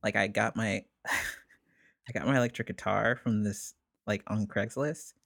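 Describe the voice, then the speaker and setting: A young man, a musician guest, telling stories in a relaxed, rambling way, with many "like"s and frequent laughs. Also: fairly low pitch, normal speed, vocal fry, dry recording, studio quality. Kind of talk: casual conversation.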